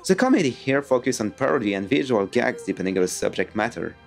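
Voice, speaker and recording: French-accented man with lively pitch. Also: quick pace, gravelly, faint music behind. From France. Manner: sarcastic, animated delivery. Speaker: YouTube reviewer. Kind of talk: scripted video essay. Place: home room with bookshelves.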